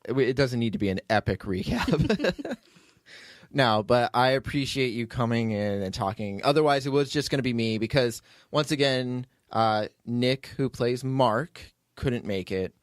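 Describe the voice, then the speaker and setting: A man's voice: mid-range voice, lively intonation, a little slow, dry recording, studio quality. A man hosting a podcast in casual, unscripted chat, talking informally in a rambling way and often restarting his own sentences. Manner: rambling, informal, self-interrupting. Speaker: podcast host, writer and producer.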